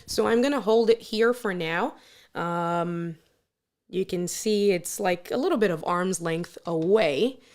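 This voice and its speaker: A woman with a strong, loud voice hosting a live stream. She tests microphones and talks straight to her viewers in an energetic, playful way. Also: on the low side, measured pace. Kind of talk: casual live stream chat. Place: room with little furniture. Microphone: switching between test microphones at different distances.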